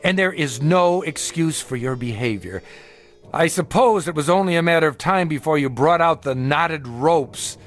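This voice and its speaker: A man's voice: on the high side, expressive, normal speed, faint music behind, natural voice. An actor playing a suspicious, sarcastic male traveller in a television drama, arguing with theatrical emphasis. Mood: indignant. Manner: argumentative, sardonic, theatrical emphasis. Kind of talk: dramatic television-style acting.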